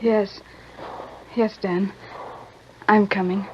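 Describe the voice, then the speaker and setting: An actress playing an earnest, anxious young frontier heroine in a 1940s radio western, dramatic in delivery. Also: female voice, medium pitch, flat delivery, drawn-out words. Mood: grateful, earnest. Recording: noisy, muffled old radio broadcast, crowd behind.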